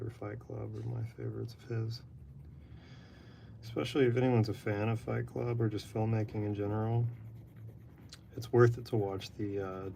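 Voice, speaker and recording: Man, monotone drawing-stream talker, gravelly fry, very flat delivery. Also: on the low side, a little hiss or hum. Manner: monotone, rambling. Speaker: artist drawing live. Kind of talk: casual chat while drawing. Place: apartment room.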